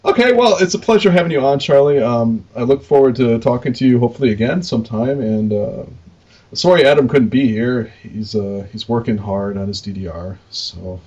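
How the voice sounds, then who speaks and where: A man's voice: medium pitch, expressive, a little fast, a little mellow, muffled recording. An American man co-hosting a podcast in casual chat, rambling along in a jokey, self-deprecating way. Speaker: podcast co-host.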